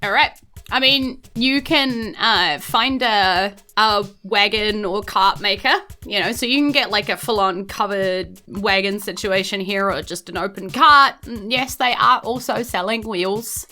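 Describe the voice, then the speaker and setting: A woman's voice: medium pitch, measured pace, bright, forceful tone, faint music behind. A woman with a New Zealand accent running a tabletop game, improvising casual, matter-of-fact explanations as game master.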